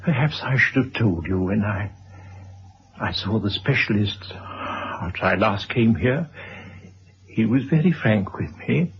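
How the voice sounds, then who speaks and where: An actor playing a courteous, resolute former schoolmaster in a vintage radio drama, formal and measured. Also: male voice, lowish voice, lively intonation. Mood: grave, resigned. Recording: dull, faintly noisy old radio recording.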